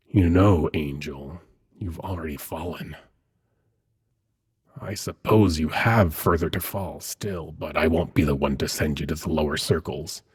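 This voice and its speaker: A man playing an ancient, seductive demon prince in an intimate ASMR roleplay, hushed and coaxing, with dramatic pauses and a husky, breathy voice. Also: rumbling low, full character voice. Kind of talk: ASMR roleplay, intimate second-person monologue.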